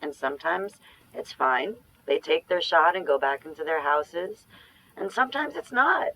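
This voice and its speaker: A woman's voice: low-pitched, lively intonation, moderate pace, a bit bright, no room sound, exaggerated voice, rough recording. A woman acting an anxious, sardonic ex-girlfriend in a naturalistic audio drama, speaking in a dry, opinionated, conversational way. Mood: exasperated. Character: anxious, sardonic ex-girlfriend living through a zombie outbreak.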